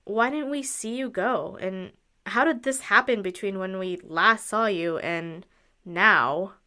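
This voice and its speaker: A woman's voice: mid-range voice, takes it slowly, bright, forceful tone. A woman playing a skeptical, sensible traveling musician in a radio drama, questioning and matter-of-fact.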